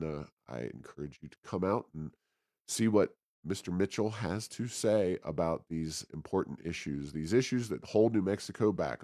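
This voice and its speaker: A man with a deep voice co-hosts a podcast in a very dry-sounding recording. He moves through commentary and announcements casually, in a conversational, somewhat halting way. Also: warm tone, no echo. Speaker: podcast co-host.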